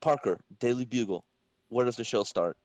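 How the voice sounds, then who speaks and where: A man's voice: mid-range voice, moderate pace, a little mellow, close to an everyday voice. A man reading the part of a level-headed, wisecracking young hero in a casual, wry way, for a comic-book reading. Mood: calm, wry.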